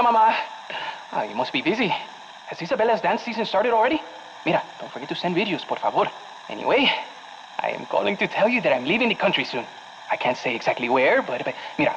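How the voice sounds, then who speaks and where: An actor playing an eager, affectionate scientist with a Latin American Spanish accent, leaving a chatty message in a game. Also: male voice, on the high side, very animated, fast, fairly bright tone. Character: eager, excitable male scientist, affectionate son. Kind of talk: scripted voicemail monologue. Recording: dull, faintly noisy phone message.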